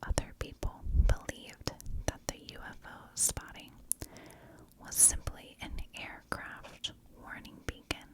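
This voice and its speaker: A woman hosting an ASMR true crime show, telling the story softly and deliberately, with pauses between phrases. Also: low-quality recording.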